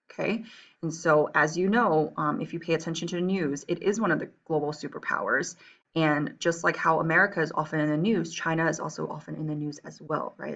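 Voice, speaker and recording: A woman's voice: low voice, creaky. A woman from Taiwan teaching an online lesson, patient and reassuring, often checking in with "okay?" and "right?". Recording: dull lo-fi audio.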